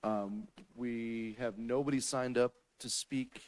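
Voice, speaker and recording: A man serving as mayor, briskly opening a city council session in council chambers. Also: medium pitch, steady tone, darkish tone. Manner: brisk, procedural. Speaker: mayor chairing the meeting. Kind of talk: formal meeting procedure.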